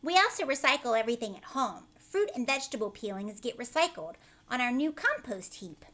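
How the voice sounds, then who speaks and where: A woman's voice: a little high, sing-song, brisk, a bit bright. A woman teacher doing a children's read-aloud, adding chatty explanations in simple words and often checking in with short questions. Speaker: teacher reading a picture book aloud to children.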